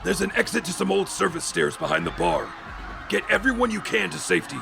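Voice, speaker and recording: A man's voice: a little fast, music in the background. A man playing a grim hooded vigilante in an audio drama, speaking in a terse, commanding growl. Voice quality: low gravelly growl.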